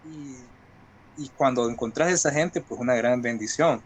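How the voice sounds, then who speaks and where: A man's voice: medium pitch, moderate pace, slight background noise. A man from El Salvador with a Salvadoran accent, talking as an interview guest in a colloquial, reflective way and explaining with examples. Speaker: guest writer. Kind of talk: conversational interview talk.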